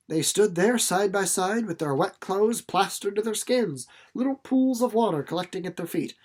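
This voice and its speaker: A young man with a fairly high voice reads a chapter aloud and talks it over. His delivery is casual and chatty, as if thinking out loud for his listeners. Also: animated, moderate pace. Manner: informal, chatty, musing aloud. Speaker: chapter reader and commentator. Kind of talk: storybook read-aloud with casual commentary.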